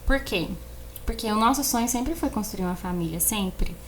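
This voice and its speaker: A woman with a Brazilian accent, chatting casually as a podcast co-host and telling personal stories in an animated way, repeating words for emphasis. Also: medium pitch, normal speed, a little hiss or hum. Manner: animated storyteller who acts out exchanges and repeats words for emphasis. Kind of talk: casual conversation, personal storytelling.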